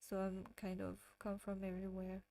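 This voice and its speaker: A woman's voice: medium pitch, monotone, normal speed, creaky, warm tone, no echo. A woman from France with a French accent, hosting a casual livestream and chatting with viewers, often giggling. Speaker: livestream host.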